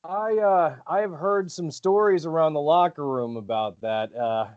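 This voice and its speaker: Man from Rhode Island, pro wrestler guest, friendly and polite, slightly high voice, muffled lo-fi audio. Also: measured pace. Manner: friendly, polite, laughs easily. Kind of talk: casual interview.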